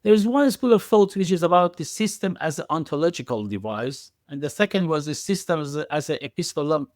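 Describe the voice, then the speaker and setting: A man's voice: a little high, expressive, a little fast, no echo, clean studio sound. A man speaking as an expert podcast guest, explaining technical ideas in a hesitant, circling way with frequent self-corrections.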